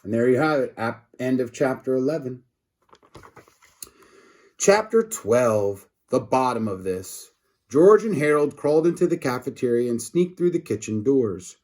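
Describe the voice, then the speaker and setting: Animated man reading a children's storybook. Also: medium pitch, a little slow. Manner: expressive, with asides to listeners. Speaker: storybook reader. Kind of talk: animated children's read-aloud narration.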